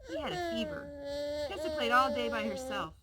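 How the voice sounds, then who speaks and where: A woman reading a children's story aloud in an expressive way, putting questions to her young listeners. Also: high-pitched, normal speed, a loud crowd.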